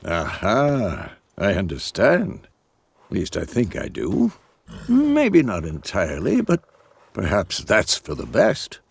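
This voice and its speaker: A gruff but kindly old mentor in a fantasy video game. His fairly low voice crackles with gravel and moves expressively up and down. He muses wryly and takes his time over words. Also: wildly swooping pitch, dry recording, full character voice. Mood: musing, amused. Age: older. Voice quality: creaky, gravelly. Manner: unhurried, wry, musing.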